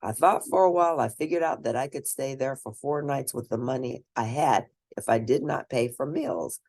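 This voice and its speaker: Husky woman reading a story aloud. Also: very deep voice, normal speed, a little mellow, dry recording. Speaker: read-aloud narrator. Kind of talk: scripted storybook reading in first person.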